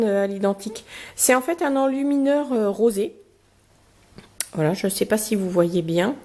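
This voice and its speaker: A woman with a slightly higher voice talks casually through a beauty box, pausing and filling gaps as she goes. Her nose is a little blocked from a cold, and she sometimes reads out product descriptions. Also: mellow. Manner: chatty, hesitant, many fillers, reads product cards aloud. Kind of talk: casual unboxing review.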